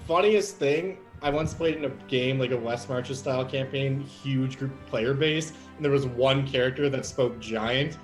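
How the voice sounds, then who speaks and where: A young man, telling stories animatedly and laughing often in a relaxed group chat. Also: medium pitch, normal speed, few pauses, faint music behind. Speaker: player. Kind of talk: casual chat between game sessions.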